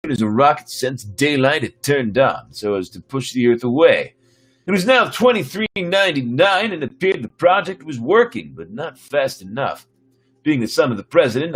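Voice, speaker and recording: A man with a husky voice reading a story aloud as dramatic narration. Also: medium pitch, fairly bright tone. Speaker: storyteller reading his own sci-fi story on a stream.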